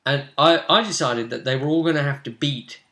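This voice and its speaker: A man working as game master, casually recapping a tabletop role-playing session with animated, emphatic storytelling in a roomy space. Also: a little high, a little fast. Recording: roomy, echoey sound.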